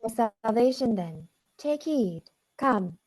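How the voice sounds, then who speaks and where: Childlike ghost woman performer, slightly high voice, formal and solemn, for a live dramatic reading. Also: expressive, slow pace, a little mellow. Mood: solemn, commanding. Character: solemn, gentle, ancient childlike spirit.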